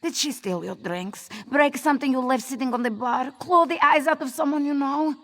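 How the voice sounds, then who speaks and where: A woman's voice: high-pitched, moderate pace, a bit bright. A woman voicing a hardworking, long-suffering cat woman in a video game, scolding and exasperated. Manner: scolding, long-suffering, speaks of herself in third person. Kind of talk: AI-voiced video game quest dialogue.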